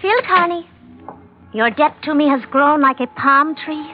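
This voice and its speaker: A proud, possessive young foreign woman from a vintage radio adventure. Her voice is very high and her phrasing is stilted and grandly formal. The recording is dulled, like an old broadcast. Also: unhurried, dull audio, faint music behind. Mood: imperious, formal.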